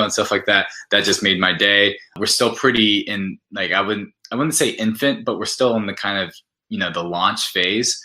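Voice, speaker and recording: A man's voice: deep voice, bright, forceful tone. A man speaking as an interview guest, explaining his product in an enthusiastic, conversational way and laying out points one by one.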